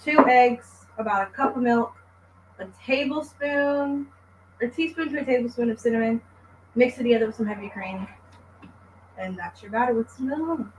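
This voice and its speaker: A young woman calmly explaining, step by step, how she makes a recipe. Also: on the high side, moderate pace, highs cut off. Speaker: home cook demonstrating a recipe. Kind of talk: cooking demonstration. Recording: echoey kitchen with faint noise.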